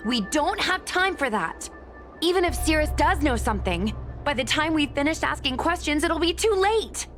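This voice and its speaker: A woman's voice: sits high, lively intonation, moderate pace, music in the background. A woman voicing a straightforward, supportive young female squad member in a video game. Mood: decisive.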